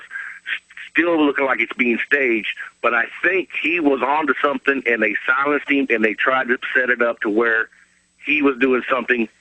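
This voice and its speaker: A man calls in to a talk radio show with his political views. His voice is very bright and forceful at an average pitch, with a dry sound. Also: dry recording, poor audio. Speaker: phone-in caller. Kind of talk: unscripted political opinion. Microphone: telephone on radio show.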